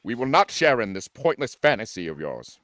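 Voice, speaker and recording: A man's voice: medium pitch, very animated, moderate pace, fairly bright tone, no room sound, clean studio sound. A man voicing a stern, indignant starship captain with a clipped, commanding, formal manner in a live script read. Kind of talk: live amateur script reading.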